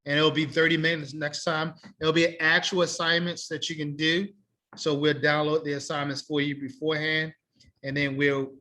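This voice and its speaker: A man in his thirties talks to students over a laptop video call from a small room. His voice sits a little high and rings bright. He speaks casually and repeats phrases as he lays out the next sessions. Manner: relaxed, repeats himself, friendly and plain. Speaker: English teacher. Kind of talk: casual online class wrap-up. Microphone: laptop webcam on a video call.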